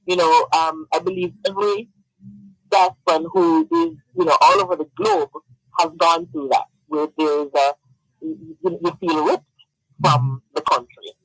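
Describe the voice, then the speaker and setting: A man with a notably high voice that swings widely as he talks. He chats as a radio guest, laughing often and tumbling over repeated words. The sound is close and dry. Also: creaky, fairly bright tone, no echo, low-quality recording. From Jamaica. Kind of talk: casual radio interview.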